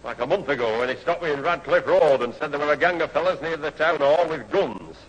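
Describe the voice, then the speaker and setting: An actor playing a long-suffering, officious police constable in a 1970s radio sitcom. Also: male voice, on the high side, fairly quick, lo-fi sound, close to an everyday voice. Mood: exasperated. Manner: officious, weary, exasperated. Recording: reverberant, slightly noisy old radio recording.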